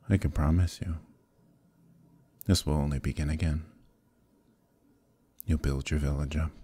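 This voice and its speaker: A man playing an evil conquering knight in an ASMR roleplay, speaking in a gravelly, husky, measured way, calm and menacing yet quietly coaxing. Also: very deep voice, no room sound, exaggerated voice. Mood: calm bargaining. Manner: measured, deliberate, quietly persuasive, pausing often. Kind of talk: ASMR roleplay, slow intimate dramatic monologue.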